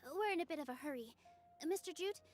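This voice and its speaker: A woman voicing a gentle, polite young female dancer in a game cutscene, soft-spoken and modest. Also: high voice, animated, fast talker, soft background music.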